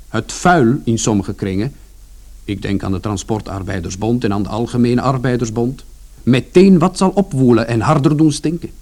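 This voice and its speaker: Man acting an indignant storyteller, middle-pitched voice, explanatory, wordy, colloquial, radio drama narration. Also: dark tone, lightly acted. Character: indignant, long-winded man telling his side of events. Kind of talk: radio play narration to a listener.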